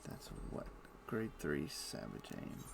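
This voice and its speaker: A man's voice: very deep voice, slow, gravelly, music in the background. A man streaming a video game, talking half to himself with dry, wry asides as he plays. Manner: muttering to himself while playing, wry asides. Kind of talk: casual live-stream gameplay commentary. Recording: steady background noise.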